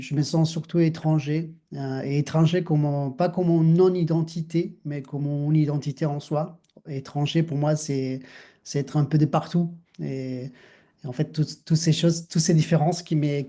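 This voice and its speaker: Middle-aged Argentine man, dark warm timbre, reflective and halting interview guest. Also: on the high side. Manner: thoughtful, many restarts, laughs softly. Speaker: guest, dancer and actor.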